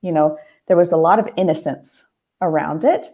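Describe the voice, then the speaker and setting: A woman hosting a casual heart-to-heart monologue, a little hoarse, talking thoughtfully with many pauses and restarts. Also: deep voice, warm tone, muffled recording. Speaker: host. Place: family house, at night.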